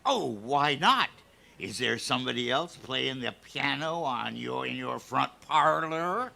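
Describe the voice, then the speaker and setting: Smitten old country janitor, gravelly n swoopy, radio comedy. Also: male voice, medium pitch, expressive, a little slow, pushed and bright, full character voice. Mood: smitten, insistent. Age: older. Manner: folksy, drawling rustic turns of phrase. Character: lovestruck folksy old male custodian, cocky and stubborn.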